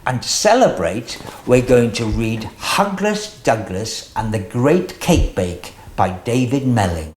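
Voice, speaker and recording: A storyteller reading a children's picture book aloud in an expressive, deliberate way as host. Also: mid-range voice.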